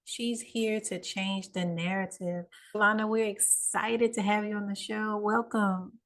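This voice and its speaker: A woman's voice: mid-range voice, steady tone, measured pace. A woman hosting a podcast, interviewing her guest with encouraging questions and short reactions. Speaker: podcast host.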